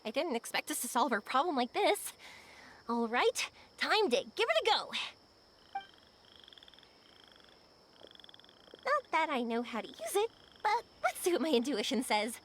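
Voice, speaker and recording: Spirited young anime game girl, high voice that bounces around a lot, playful n upbeat. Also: sing-song, moderate pace, a bit bright, a little hiss or hum. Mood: grateful, determined. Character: cheerful, spirited, warm-hearted young woman. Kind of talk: anime-style video game voice acting.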